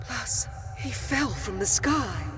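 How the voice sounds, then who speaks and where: A woman playing a possessive, smitten and imperious female dusk elf vampire in a dark fantasy audio drama, theatrical and lingering over her words. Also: a little high, expressive, slow pace, over music. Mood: smitten, intimate.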